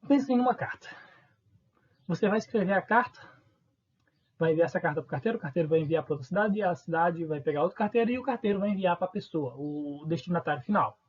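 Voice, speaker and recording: A male teacher with a Northeastern Brazilian accent gives a recorded lesson in a relaxed, informal tone. His voice is husky and the pitch moves a lot, and he asks the class questions that he then answers himself. The recording sounds dull and lo-fi. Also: fast. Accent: Northeastern Brazilian Portuguese.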